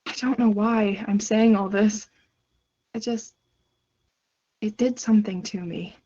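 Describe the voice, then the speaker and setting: A woman's voice: mid-range voice, fairly even intonation, unhurried, dark tone, natural voice. An actress playing a wistful, chatty woman in a conversational stage monologue, musing reflectively as if confiding in a listener. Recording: dull lo-fi conference call audio.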